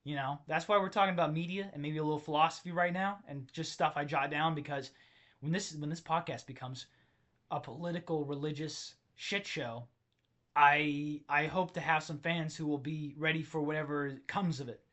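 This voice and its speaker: A man hosting a solo comedy podcast and ranting in a rambling, excitable way that keeps interrupting itself. His voice is tired and strained. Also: fairly high pitch, moderate pace. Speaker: podcast host.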